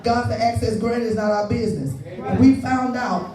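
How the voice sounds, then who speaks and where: A woman's voice: fairly high pitch, moderate pace, mellow. Echoey woman preacher giving testimony. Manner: conversational storytelling with exhortations and repeated appeals to listeners. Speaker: church preacher. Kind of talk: testimonial sermon with call and response. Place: large indoor church space. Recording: echoey church hall, distant, music behind.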